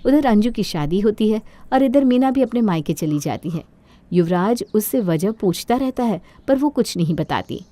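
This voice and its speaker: A woman serves as narrator of a cartoon moral tale. She reads in a scripted storytelling style with lively intonation. The recording is dry and close. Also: mid-range voice, moderate pace, no room sound.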